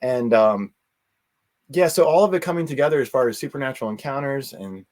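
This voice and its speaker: A man with an average-pitched voice talks as a guest in a casual interview. He is earnest and rambles, feeling his way haltingly through long, looping thoughts. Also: animated, a little mellow. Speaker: interview guest, convention organizer.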